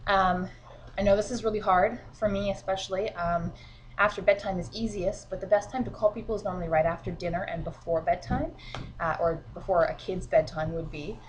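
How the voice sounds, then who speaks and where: A woman talking to her team from home while fighting a cold, her voice a bit sniffly. She speaks in a chatty, encouraging way with plenty of filler words, and her pitch sits right in the middle. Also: steady tone, fairly quick, a little hiss or hum, poor audio. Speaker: sales team leader. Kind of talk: casual instructional vlog. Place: at home, children beside her.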